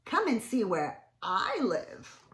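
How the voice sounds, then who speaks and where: A woman's voice: medium pitch, wildly swooping pitch, slow, fairly bright tone, exaggerated voice. A woman voicing a good-natured, hopeful male caveman from a storybook, in an earnest, wondering way. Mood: assured. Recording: roomy, echoing indoor sound.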